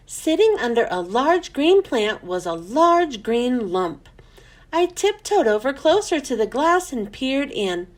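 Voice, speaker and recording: A woman narrating a children's storybook in an expressive, animated read-aloud style. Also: high-pitched. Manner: animated, storytelling for young listeners.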